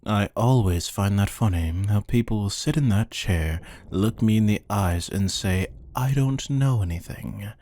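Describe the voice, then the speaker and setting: A man playing a bored, menacing hired interrogator in an ASMR roleplay, speaking in a deadpan, condescending, taunting way with a husky voice. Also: fairly low pitch, a little slow, hardly any pauses, close to an everyday voice. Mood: dry, sardonic.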